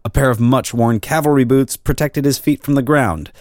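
A man narrating an old-fashioned drama in a formal, measured storytelling manner. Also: medium pitch, no echo, studio quality. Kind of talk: scripted literary narration of a drama.

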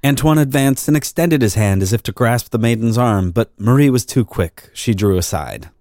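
A man narrating an old-fashioned drama in a formal, measured storytelling manner. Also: medium pitch, lively intonation, darkish tone, dry recording, studio quality. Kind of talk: scripted literary narration of a drama.

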